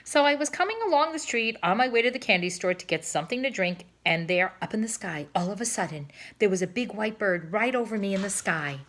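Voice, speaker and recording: A woman's voice: medium pitch, animated, a little fast, few pauses, fairly bright tone, close to an everyday voice. A woman reading a storybook, voicing an earnest, naive small boy who rambles.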